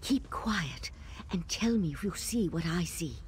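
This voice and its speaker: A wary, well-informed female adventurer from a fantasy game. Her voice sits low and moves slowly, with lively rises and falls as she gravely explains things. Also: a little hiss or hum. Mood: hushed, alarmed. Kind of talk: video game voice acting.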